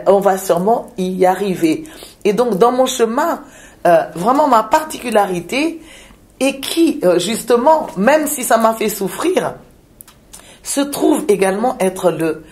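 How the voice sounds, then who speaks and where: A woman from Madagascar, a shaman, speaking in a reflective interview with frequent pauses, hesitations and repeated words. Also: medium pitch, a little mellow. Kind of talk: reflective spiritual interview talk.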